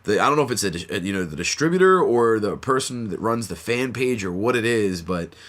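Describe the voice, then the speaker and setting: A man's voice: on the low side, lively intonation, brisk, a bit bright. A man hosting a horror podcast in a laid-back, slangy way with plenty of fillers, sounding stuffy from a cold. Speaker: podcast host. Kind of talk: casual podcast chat.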